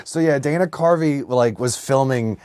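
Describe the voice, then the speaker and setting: A man's voice: medium pitch, moderate pace, a little mellow, clean tone. A man co-hosting a podcast, chatting casually and irreverently with jokes and swearing. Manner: irreverent, profane, jokey. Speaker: podcast co-host. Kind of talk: casual comedic banter.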